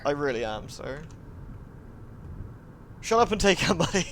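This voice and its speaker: A man's voice: on the high side, big pitch swings, unhurried, a bit bright, a little hiss or hum. A man livestreaming, switching between polite, thankful chat with viewers and reading narration aloud. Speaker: live streamer reading a visual novel aloud.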